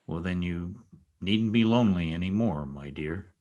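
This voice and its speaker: A man plays a spurned, vengeful lover in an old-time radio drama. His voice is on the low side, and his delivery is formal, theatrical and intense, full of brooding bitterness. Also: moderate pace, a little mellow, lo-fi sound. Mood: wooing, frank. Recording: remote video-call recording.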